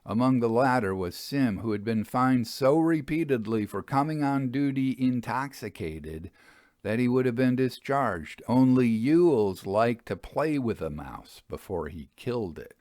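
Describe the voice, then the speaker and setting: A man giving a measured, expressive, dramatic audiobook narration of a Victorian ghost story. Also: medium pitch, no echo, studio quality.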